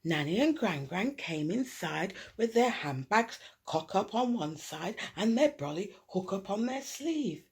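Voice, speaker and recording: A woman reading a children's picture book aloud expressively, dramatic, with hushed asides and emphasis on repeated words. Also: mid-range voice, big pitch swings, a little slow, hardly any pauses, husky. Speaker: storyteller.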